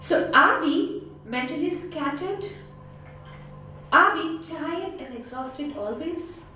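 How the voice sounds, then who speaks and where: High-voiced Indian-accented woman, a motivational speaker firing rhetorical questions, captured at a distance in a booming hall with faint noise. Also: lively intonation, takes it slowly, pushed and bright. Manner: emphatic, full of rhetorical questions. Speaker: motivational speaker and life coach. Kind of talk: motivational talk to a live audience. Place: large conference hall with an audience. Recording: very echoey hall, voice picked up from afar.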